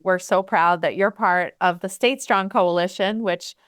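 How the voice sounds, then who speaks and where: A woman hosting an interview, encouraging her guest with frequent warm affirmations. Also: on the low side, steady tone, unhurried, no echo, clean studio sound. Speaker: podcast host.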